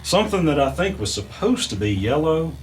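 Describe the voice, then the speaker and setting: A man acting as the weary narrator of a mystery audio drama, recounting an arcade ordeal in a measured, halting way. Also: fairly high pitch, very animated, soft background music. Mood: wry, suspenseful recounting. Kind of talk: first-person audio drama narration with dialogue.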